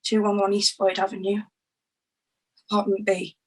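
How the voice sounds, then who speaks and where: A woman acting a shaken, grieving young crime witness in a screenplay table read, with halting delivery. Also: medium pitch, completely flat delivery, darkish tone, close to an everyday voice. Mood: urgent, pleading.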